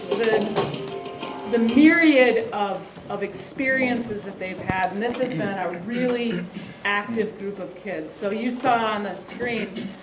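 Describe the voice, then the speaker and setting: A woman's voice: mid-range voice, music in the background. Woman presenter at a community meeting, rambling, heard from a distant mic in a roomy space. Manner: rambling, halting with fillers and restarts. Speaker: exchange program coordinator. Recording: roomy sound from a distant mic, faint hiss.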